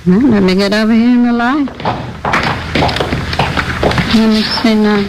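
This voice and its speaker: An actress playing a chatty, literal-minded apartment manager, deliberate and folksy, in 1950s radio drama style. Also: female voice, a little high, steady tone, natural voice. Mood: deliberate, helpful. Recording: old radio recording, faint noise.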